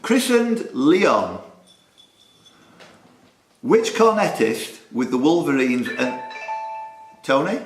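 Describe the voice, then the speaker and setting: A man's voice: on the high side, very animated, slow pace, long pauses, faint music behind. A man hosting a live pub quiz, with jokey, punning asides. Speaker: quiz master. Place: social club room. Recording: roomy club hall with some noise.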